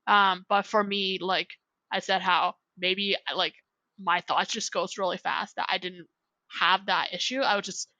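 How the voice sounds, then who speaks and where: A young woman talking as a podcast guest, rambling from point to point and leaning on "like" constantly. Her voice has a gravelly edge and a bright, forceful tone, recorded close and dry. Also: medium pitch, level tone, unhurried, dry recording, low-quality recording. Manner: rambling, heavy use of "like". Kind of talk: casual chat.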